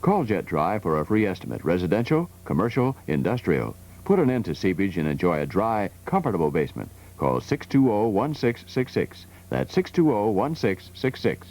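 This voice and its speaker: A man's voice: fairly low pitch, sing-song, moderate pace, few pauses, darkish tone. An American man reading a scripted 1970s local commercial as its announcer.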